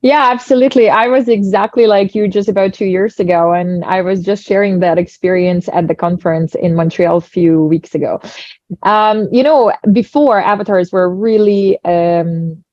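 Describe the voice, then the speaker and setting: A woman's voice: mid-range voice, steady tone, normal speed, a little mellow, no room sound. A woman from Ukraine with a Ukrainian accent, a guest explaining her work enthusiastically in a casual interview. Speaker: guest, tech founder. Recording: dull dry lo-fi audio.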